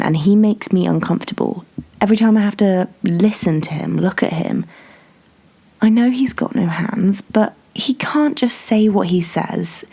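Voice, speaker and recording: A woman's voice: mid-range voice, warm tone, husky. A woman performing a dramatic monologue as a nervous, aggrieved prison staffer, hesitant and forever justifying herself. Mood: uneasy, indignant. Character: nervous, aggrieved prison staffer complaining about a frightening inmate.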